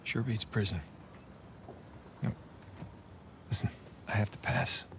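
An actor playing a wry, evasive, good-natured adventurer in his thirties in a video game, talking casually and haltingly with dry jokes. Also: on the low side, steady tone, background noise. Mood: firm, guarded. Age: 30s. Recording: music behind the voice.

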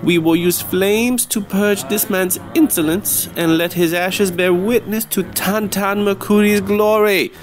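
High-pitched fanatical cult leader. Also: male voice, steady tone, a little slow, hardly any pauses. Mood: exalted, commanding. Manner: ceremonial, declamatory. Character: fanatical male cult leader, menacing and grandiose. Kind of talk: old-time radio horror drama.

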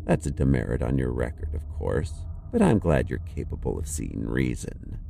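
A man's voice: extremely low pitch, very animated, moderate pace, creaky, dark tone, a little hiss or hum, heavily acted. An actor playing a pompous, condescending corporate councilman in an audio drama, cold and authoritarian, with clipped formal delivery. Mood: smug, menacing.

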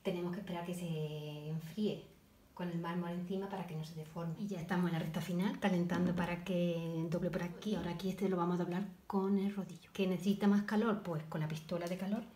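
A woman's voice: fairly low pitch, steady tone. Woman narrating a hands-on DIY project. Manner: halting, talks while working, frequent restarts and soft asides. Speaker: DIY video maker. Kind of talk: step-by-step craft demonstration. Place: home kitchen, indoors. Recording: echoey room with background noise.

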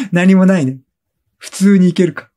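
A man giving casual, excitable let's play commentary, chatty and reactive, often repeating short phrases. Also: high-pitched, measured pace, slight background noise. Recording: game music behind the voice. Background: video game music.

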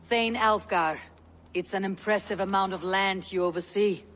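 A woman's voice: medium pitch, steady tone, moderate pace, fairly bright tone, in a large hall, a little hiss or hum, close to an everyday voice. A woman playing a stern, blunt young Viking warrior in a video game, speaking in a terse, dry, guarded manner. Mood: guarded, wry. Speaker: actor in a video game cutscene. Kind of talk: video game drama acting.

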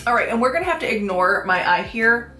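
A woman in her thirties talking casually through a makeup tutorial, a little congested and sniffly, with relaxed ums and likes. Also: on the high side, a little fast, reverberant, faint music behind. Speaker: beauty vlogger. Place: small room at home, plain backdrop. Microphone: camera at head-and-shoulders framing.

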